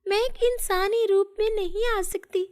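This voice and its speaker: A woman voicing a magical talking flower, an innocent little girl with a thin childlike voice, in an animated fairy tale. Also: extremely high pitch, a little slow, voice pushed up. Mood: earnest, explaining.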